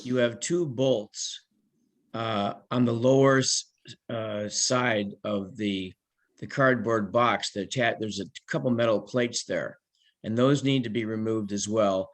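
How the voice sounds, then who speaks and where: A man's voice: on the low side, fairly even intonation, a little slow. A man giving casual, practical repair advice on a group call, searching for words as he goes. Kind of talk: casual technical explanation. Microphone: conference call connection.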